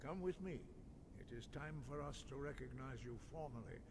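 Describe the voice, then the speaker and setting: A man voicing a wise, solemn monastic elder in a fantasy video game, speaking in a measured, ceremonial way with a gravelly voice. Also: medium pitch, lightly acted.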